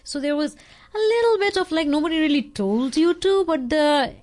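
A woman with an Indian English accent co-hosts a radio talk show. She explains her points in a conversational way, and her voice sits a little higher than most women's. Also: expressive, normal speed, a little mellow. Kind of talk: casual on-air radio chat. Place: radio studio.

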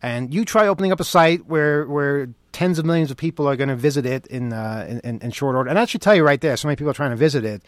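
A man's voice: a little high, lively intonation, fast, hardly any pauses, no room sound, clean studio sound. A man hosting a radio show, bantering casually live on air in a wry, joking, sardonic manner. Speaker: radio host.

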